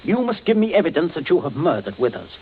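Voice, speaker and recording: A man's voice: on the high side, big pitch swings, fast. An actor playing a coolly menacing middle-aged father who gives curt, icily polite commands in a vintage radio suspense play. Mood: cold menace. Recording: tinny, hissy old radio broadcast.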